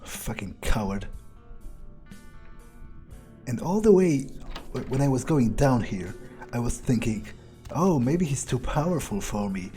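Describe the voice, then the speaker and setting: A man playing a game in character, thinking aloud in a halting, musing way with casual swearing. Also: mid-range voice, very animated, mellow. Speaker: let's player speaking in character. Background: game music.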